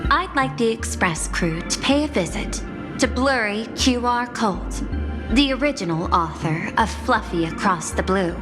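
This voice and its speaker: A woman playing a poised, persuasive executive in a video game, formal and measured, with grand confidence. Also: on the high side, animated. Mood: grand, confident. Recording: long reverb, music behind.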